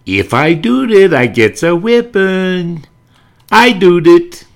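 Excitable old-time radio comedy character, performed by a man: raised pitch, exaggerated exclaiming, swooping intonation. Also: slow pace, creaky, exaggerated voice. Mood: excited exclaiming. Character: excitable, high-voiced radio comedy characters shouting catchphrases.

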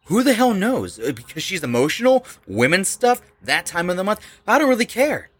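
A male actor plays a surly band musician in an audio drama. His voice is husky and his intonation jumps around a lot. He is crude, sneering and slangy, brushing everything off. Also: high-pitched, big pitch swings, normal speed, full character voice. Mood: scornful. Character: hostile, dismissive male rock band member, crude and evasive.

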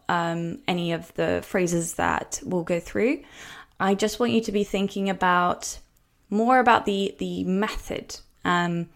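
Woman, Norwegian first language, podcast language coach, gentle, mid-range voice, step-by-step explaining. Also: mid-range voice. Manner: slows down to spell out example words.

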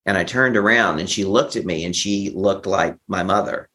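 A man giving a personal account as an interview guest, speaking haltingly, often repeating and restarting his phrases. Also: low voice, pushed and bright. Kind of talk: personal recovery storytelling.

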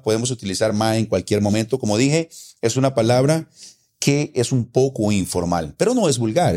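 A man's voice: medium pitch, a little mellow. Patient Costa Rican man explaining. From Costa Rica. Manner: patient, explanatory, repeats points. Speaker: guest explaining local slang. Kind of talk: casual conversation.

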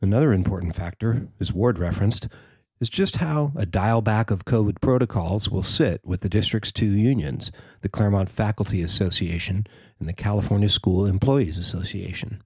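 An American man narrates a written news story in a fairly low voice. The sound is very dry and close, with no room around it, and the delivery is that of a reporter reading copy. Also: moderate pace, a little mellow, breathy edge, dry recording.

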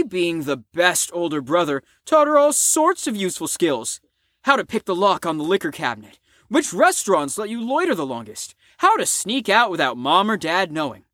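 A man's voice: high-pitched, bright, forceful tone, no echo, studio quality, exaggerated voice. A man playing a cocky, crude fifteen-year-old boy in an audio drama, with a smug, slangy drawl. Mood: flippant.

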